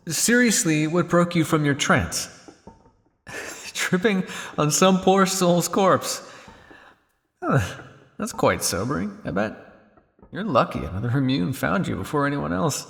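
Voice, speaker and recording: Man acting a teasing vampire scientist, reverberant, lively pitch. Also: vocal fry, heavily acted. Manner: teasing, condescending, drawling with theatrical pauses. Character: cynical male vampire scientist, teasing, morbid, suave. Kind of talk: ASMR roleplay monologue.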